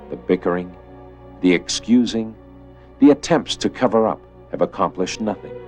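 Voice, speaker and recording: A man giving scripted, grave and measured narration for an educational film, explaining in a documentary style. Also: sits low, darkish tone. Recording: old VHS educational film soundtrack with music.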